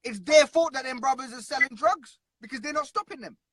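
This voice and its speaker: A man's voice: very high-pitched, brisk, fairly bright tone, dry recording, studio quality. Earnest, blunt man. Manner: earnest, blunt, repeats himself. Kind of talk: casual conversation.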